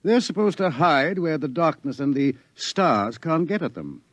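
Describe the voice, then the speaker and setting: A man's voice: fairly high pitch, big pitch swings, unhurried, no echo. An actor playing a male professor in a 1950s radio drama, explaining the coming doom with some hesitation. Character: male professor, scientist, wry and grave. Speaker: scientist.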